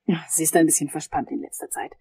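An actress playing a composed, polite dental assistant in a crime audio drama, with a husky, breathy voice and a soft, measured manner. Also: female voice, low voice, noisy background. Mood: confiding.

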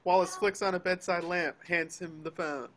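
A man's voice: high-pitched, steady tone, normal speed, fairly bright tone, a little hiss or hum. A man reading scripted action descriptions as narrator of a table read over a video call.

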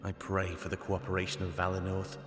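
A man's voice: deep voice, monotone, brisk, music in the background, natural voice. A man playing a solemn, calculating animal rebel leader in a fantasy video game. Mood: brooding. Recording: echoey cavernous reverb.

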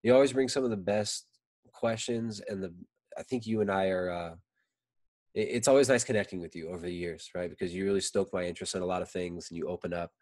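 A man's voice: low-pitched, fairly even intonation, fast, darkish tone, no echo, studio quality. A man in his thirties, a podcast guest chatting casually over a video call, loose and rambling with lots of "like" and "you know". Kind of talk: casual conversation. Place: indoor room. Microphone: video call, desk mic.

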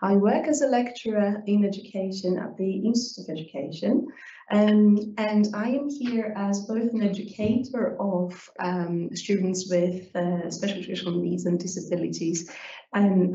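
A woman with a Greek accent, speaking as a lecturer on a university panel in a measured, hesitant way with frequent pauses. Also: medium pitch, dark tone. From Greece. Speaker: lecturer in education. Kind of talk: panel discussion. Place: university seminar room with audience. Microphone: handheld microphone. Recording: echoey room from a distance, dull sound.

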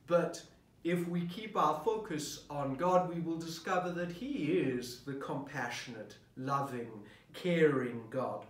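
Slow, reflective priest in a roomy office. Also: male voice, a little high. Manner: reflective, posing questions to the listener. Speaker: parish priest. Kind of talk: sermon preparation talk. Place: office at a desk, indoors. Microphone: camera at desk distance. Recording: roomy, mic at a distance.

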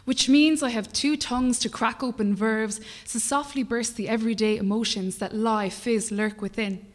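A woman's voice: a little high, fairly even intonation. Irish woman poet reciting in a reverberant hall. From Ireland. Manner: measured, rhythmic recital, dropping to whispers. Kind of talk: poetry reading. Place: small brick-walled performance venue, indoors. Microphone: stand mic at a lectern.

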